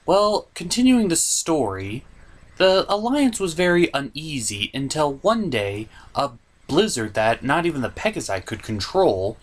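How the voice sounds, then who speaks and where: A man voicing a theatrical, easily exasperated gentleman puppet who hosts a scripted comedic cartoon review. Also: medium pitch. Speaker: host of an animation review show.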